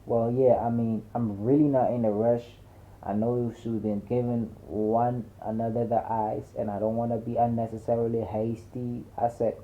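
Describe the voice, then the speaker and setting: Male audiobook narrator, average pitch, conversational and emphatic storytelling, thin telephone-grade sound. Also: level tone, moderate pace. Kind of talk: dramatic first-person audiobook narration with slangy conversational delivery. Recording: muffled narrow phone-like audio.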